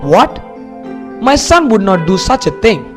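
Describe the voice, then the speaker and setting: A woman voicing a clever male courtier who speaks calmly and politely. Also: mid-range voice, a little slow. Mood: protective. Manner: calm, polite, plainly spoken. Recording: music under the voice.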